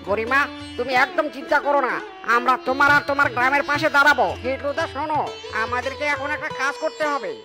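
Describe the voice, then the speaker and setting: A woman's voice: high voice, steady tone, fast, natural voice. A woman voicing a kind, eager young animal villager in a cartoon, caring and earnest in manner. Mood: reassuring. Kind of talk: cartoon voice acting. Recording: music behind the voice.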